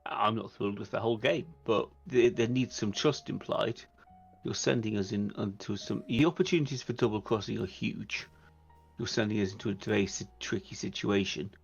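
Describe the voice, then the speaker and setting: A man plays a blunt, wary vampire dealmaker in a tabletop game. His voice is low and gravelly, and he talks strictly business. The sound is slightly dull, with faint music behind. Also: normal speed.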